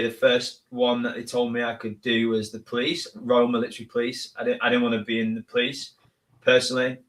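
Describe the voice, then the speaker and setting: A man's voice: medium pitch, fairly bright tone. A man in his thirties from northern England with a northern English accent, a guest telling his story in a casual, modest way with many restarts. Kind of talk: casual interview talk.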